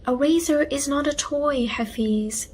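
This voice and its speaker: A woman voicing a gentle, weary, caring mother in a children's storybook, soothing in manner. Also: high-pitched, measured pace, slight background noise, voice pushed up. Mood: gentle scolding.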